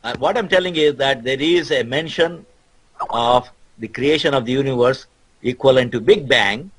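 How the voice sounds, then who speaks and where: A man speaking as a guest scholar in a debate, explaining his case in a halting but insistent way. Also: mid-range voice, a bit bright, husky, low-quality recording.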